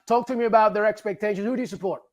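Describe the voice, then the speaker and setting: A male sports show host from Peru, a native Spanish speaker, with a fairly high voice. He interviews his guest in an upbeat, enthusiastic way, full of praise and quick questions. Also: muffled recording, a crowd in the background.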